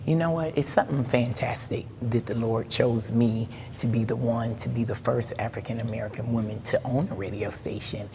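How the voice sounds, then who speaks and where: A woman's voice: rumbling low, fairly even intonation, moderate pace, few pauses, mellow. A middle-aged woman who owns a radio station, answering interview questions in an upbeat, proud way. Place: indoor exhibit hall. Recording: hall reverb, faint noise.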